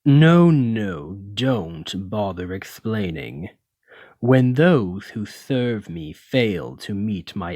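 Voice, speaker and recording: A man's voice: on the low side, a little mellow, no echo, full character voice. A man playing a haughty, menacing lamia noble in an ASMR roleplay, speaking with condescending, theatrical, aristocratic deliberation. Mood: stern, displeased. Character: haughty, menacing male lamia noble, wealthy retired merchant. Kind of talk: ASMR roleplay addressed to the listener.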